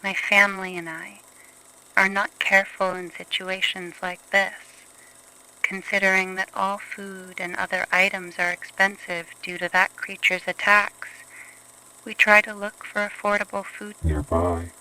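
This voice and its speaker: A woman's voice: medium pitch, unhurried, bright, forceful tone, slight background noise, rough recording. A woman playing a shaken young survivor giving an earnest, plain-worded testimony in a horror story. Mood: regretful.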